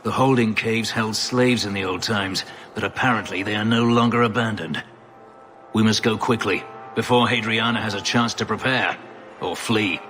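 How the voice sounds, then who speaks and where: A man's voice: on the low side, steady tone, moderate pace, a bit bright, faint music behind, close to an everyday voice. An actor playing a bitter, brooding male elf who escaped slavery, speaking grimly and formally in a video game. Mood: wary, resentful. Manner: grim, terse, formal phrasing.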